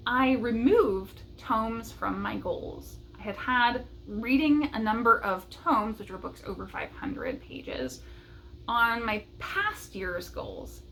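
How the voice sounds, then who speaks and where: A woman's voice: fairly high pitch, fairly bright tone, a little hiss or hum, rough recording. A woman talking casually and unscripted like a book vlogger, upbeat and a little self-deprecating. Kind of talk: casual unscripted chat to camera.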